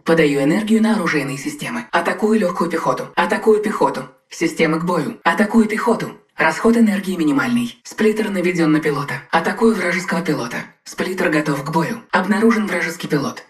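A woman voicing a dutiful female combat mech AI in a game, giving crisp, measured status reports. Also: fairly low pitch, expressive, close to an everyday voice. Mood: steady. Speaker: titan AI voice. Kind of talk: game voice lines, Russian dub.

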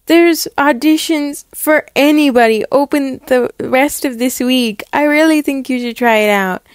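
A woman voicing an encouraging, theater-loving mother with a sweetly coaxing, upbeat manner for an animated story. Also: sits high, a little slow, clean tone, no room sound, studio quality. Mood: coaxing, excited. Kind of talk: animated roleplay story acting.

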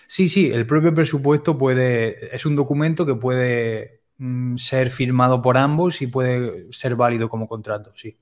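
A young man calmly explaining pricing step by step on a video call, headset mic in a home room. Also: medium pitch. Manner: plain, step-by-step explanations with quick asides. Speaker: web development teacher, guest expert.

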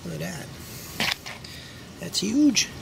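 Gravelly guy out in his yard at night, chatty, handheld cam mic, noisy outdoor sound. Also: sits high, takes it slowly. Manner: chatty, excited over big worms. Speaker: backyard gardener and angler. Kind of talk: casual narration while working. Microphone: handheld camera mic.

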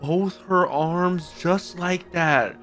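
Young dude yelling reactions into a boom mic, slightly high voice, cursing a lot, anime music faint underneath. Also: male voice, drawn-out words. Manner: exclaiming, animated, swears casually. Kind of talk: excited reaction commentary. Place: home streaming room with neon lights. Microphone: boom mic with headphones. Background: anime soundtrack playing.

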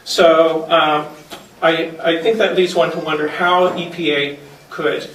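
A man's voice: on the high side, fairly even intonation, measured pace, fairly bright tone. An older man giving an earnest public comment at a town hearing, reading from notes with frequent ums and uhs. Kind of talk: public hearing statement, partly read. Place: community center hall, indoors. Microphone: podium mic from a distance. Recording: echoey hall, distant mic, faint noise.

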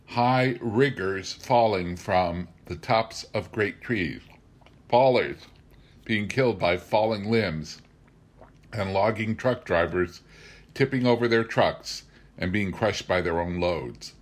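A middle-aged man narrating a memoir as a scripted reading, with measured, deliberate phrasing. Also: lowish voice. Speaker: amateur audiobook reader.

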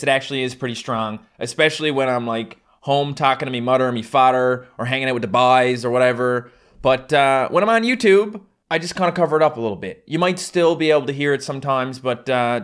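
A man's voice: medium pitch, fairly bright tone, clean tone. A young man with a thick Newfoundland accent talks straight to camera from a small room at home. His manner is playful and self-mocking, full of jokes about how he talks. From Newfoundland, Canada. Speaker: YouTuber. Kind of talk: casual vlog to camera.

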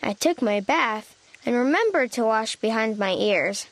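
A woman's voice: a little high, lively intonation, unhurried, dry recording. A woman narrating a children's storybook expressively, voicing an earnest, forgetful little boy critter with a childlike manner. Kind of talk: expressive children's read-aloud in a young child character's voice.

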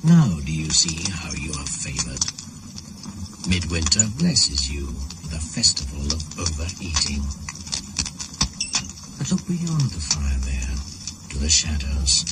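A man's voice: very deep voice, very animated, takes it slowly, long pauses, very noisy, full character voice. An actor playing an ancient pagan shaman spirit, proud and brooding, in a solemn incantatory radio play monologue. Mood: ominous. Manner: incantatory, solemn, poetic delivery. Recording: 1980s radio drama with music behind.

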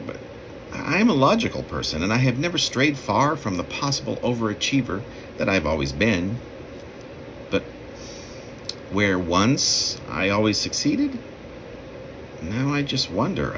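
Male poet, 50s, low register with swooping intonation, deliberate halting delivery of own verse. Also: background noise. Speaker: poet reading own work. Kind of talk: poetry reading. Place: small room indoors.

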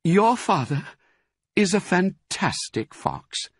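A tender, fretful mother fox from a children's storybook, put on by a man. The voice sits far above a man's usual pitch and swoops with feeling, with a husky, bright edge. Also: big pitch swings, slow, no room sound, exaggerated voice. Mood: proud, grateful. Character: Missus Fox, tender, anxious female mother fox. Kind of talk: animated children's storybook reading.

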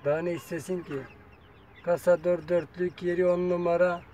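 A man's voice: sits high, level tone, normal speed, dark tone, slight background noise. A man talking casually to his viewers while filming his chickens in an outdoor yard on a phone camera, chatty and coaxing. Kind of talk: casual vlog talk to viewers.

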